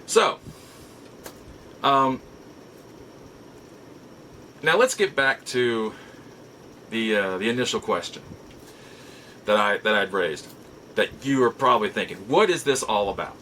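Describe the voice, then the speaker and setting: A middle-aged man hosting a show, telling nostalgic stories casually in a halting, reflective way with emphatic repeats. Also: on the low side, animated, pushed and bright, slight background noise, poor audio. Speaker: show host. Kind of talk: casual nostalgic storytelling to viewers.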